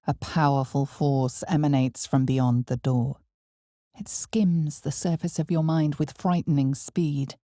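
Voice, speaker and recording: A woman playing the knowing, ominous narrator of a dark fantasy game, speaking with deliberate, theatrical, hushed intensity. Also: very deep voice, gravelly, dry recording, studio quality, heavily acted. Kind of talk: dramatic scripted fantasy narration.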